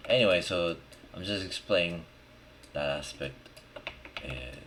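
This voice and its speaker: Really deep slow guy mumbling thru a software tutorial, short slangy bits, noisy room w keyboard clicks. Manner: muttering short fragments, slangy. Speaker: 3D modeling tutorial host. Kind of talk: casual screen-recorded tutorial, thinking aloud while working. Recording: clear background noise, keyboard and mouse clicks. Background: typing and mouse clicking.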